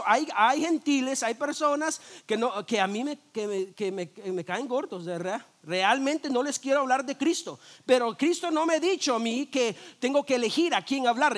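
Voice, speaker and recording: A man, a pastor, preaching a sermon in a lively, conversational way, full of rhetorical questions, jokes and quick restarts. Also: very high-pitched. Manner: frequent restarts and repeated words.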